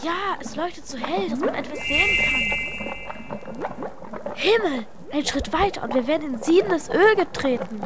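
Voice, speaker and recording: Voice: sits high, animated, normal speed, heavy background noise. A young performer playing a bold space traveller in an amateur children's audio drama. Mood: alert.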